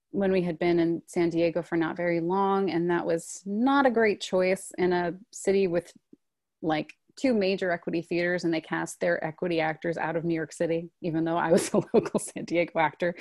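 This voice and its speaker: A woman in her thirties speaks as a guest in a relaxed conversation. Her voice sits on the low side, close to the mic with almost no room sound, and she talks thoughtfully, often pausing on "like" and "um.". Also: no room sound. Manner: thoughtful, frequent "like" and "um" fillers. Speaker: guest, stage actor. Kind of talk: casual conversational interview.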